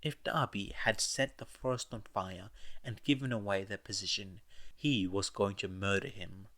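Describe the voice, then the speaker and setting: A man's voice: fairly low pitch, fairly even intonation, normal speed, a bit bright. A man narrating fiction as an audiobook reader, with casual asides. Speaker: podfic narrator.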